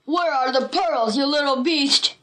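A brutish male pirate bully from a children's storybook, acted out by a woman narrator. He is greedy and menacing, and he barks his threats and demands in a raised, forceful shout. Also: high voice, measured pace. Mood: menacing, angry.

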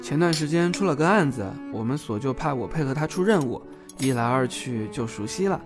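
A man's voice: medium pitch, lively intonation, brisk, over music, lightly acted. A man playing a bashful, easily flustered but polite young policeman in an audio drama. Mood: polite, friendly. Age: young adult.

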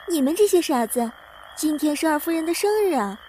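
Boastful young maidservant, acted by a woman, high-pitched and chatty, for a historical audio drama. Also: expressive, moderate pace, darkish tone, a little hiss or hum, low-quality recording. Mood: showing off. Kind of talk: Chinese audio drama acting.

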